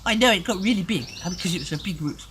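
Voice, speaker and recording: A woman telling a children's story expressively, with dramatic pauses and chatty asides. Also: on the low side, expressive, noisy background.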